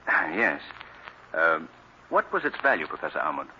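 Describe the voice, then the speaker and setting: An actor playing a courteous, composed gentleman sleuth in an old radio drama, speaking in a polite, measured way. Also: very deep voice, very animated, lo-fi sound, heavily acted. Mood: courteous, inquiring. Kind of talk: 1940s radio acting. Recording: hissy, band-limited old radio recording.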